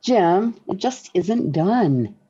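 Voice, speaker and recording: An actress playing a calm, wry mother and wife, soothing and gently firm, in 1940s radio sitcom style. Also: medium pitch, drawn-out words, breathy edge, exaggerated voice. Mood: calmly persuasive. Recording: muffled old 1940s radio recording.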